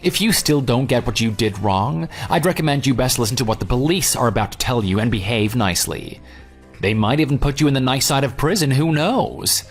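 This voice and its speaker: A jilted former groom, played by a man, telling his story in an audio drama. He is dry, sarcastic and unyielding, with a medium-pitched voice. Light music sits underneath. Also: animated, fairly quick, lightly acted. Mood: firm, mocking. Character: jilted ex-fiance, sarcastic, unyielding, self-assured. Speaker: narrator and lead character. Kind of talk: audio drama dialogue and first-person narration. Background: background music.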